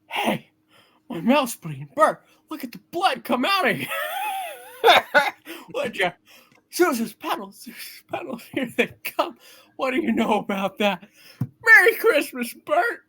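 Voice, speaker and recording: Radio play husband and father, played by a woman: desperate, pleading, higher-pitched, highly animated delivery. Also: measured pace, breathy edge, exaggerated voice. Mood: giddy elation. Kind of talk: hammed-up live radio play reading.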